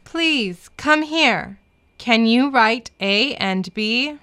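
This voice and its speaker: A woman's voice: a little high, animated, extremely slow, pushed and bright, clear, resonant tone. A woman reading scripted English lesson lines for children, carefully enunciating each phrase.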